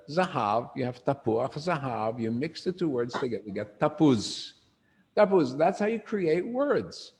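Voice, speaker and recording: Gravelly older man lecturing with lively questions. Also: on the high side. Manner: animated storyteller, asks the room questions. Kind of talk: informal lecture to a group.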